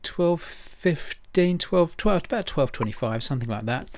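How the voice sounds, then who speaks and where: A man's voice: fairly high pitch, animated, normal speed, a little mellow, no room sound. Rambling, chatty man hosting, dry close sound. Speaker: event host and presenter. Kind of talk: informal livestream announcing.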